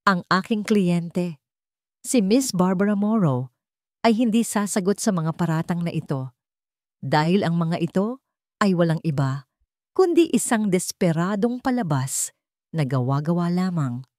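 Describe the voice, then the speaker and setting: Woman as male courtroom lawyer, lowish pitch, formal, pointed, relentless, deliberate phrasing, dry sound, dramatic story. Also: expressive, a little mellow, no room sound. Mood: firm, defiant.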